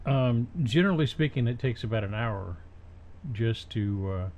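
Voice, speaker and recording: A man presenting a casual, unscripted software tutorial, haltingly thinking aloud as he works. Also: medium pitch, lively intonation. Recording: dull, muffled home recording with faint noise.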